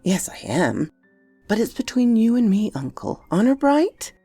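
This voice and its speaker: A woman's voice: medium pitch, sing-song, normal speed, dark tone, husky, dry recording, faint music behind. A woman voicing a grave, polite young boy who speaks earnestly and insistently in a storybook reading. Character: earnest, secretive young boy, gravely confident about betting.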